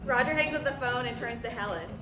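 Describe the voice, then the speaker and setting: A woman reads stage directions for a play. Her voice sits fairly high. The sound is very roomy, with clear noise. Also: quick pace. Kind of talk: scripted narration at a play table read. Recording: roomy, clearly noisy recording.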